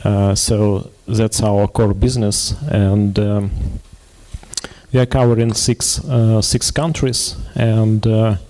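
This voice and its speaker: A man in his forties from Ukraine, a panel guest who speaks haltingly and searches for his words. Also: on the low side, flat delivery, a little mellow, slight background noise. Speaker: panel guest, digital agency executive. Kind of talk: panel discussion.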